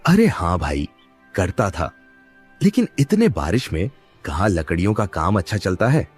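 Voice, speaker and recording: A man's voice: low-pitched, sing-song, normal speed, darkish tone, breathy edge, faint music behind, heavily acted. A man playing a poor, earnest village labourer in a cartoon moral story, with a pleading, trusting manner. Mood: grateful.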